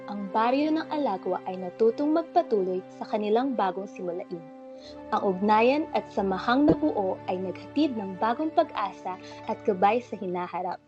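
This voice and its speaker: A woman's voice: fairly high pitch, highs cut off. A woman with a Filipino accent narrating a scripted radio drama.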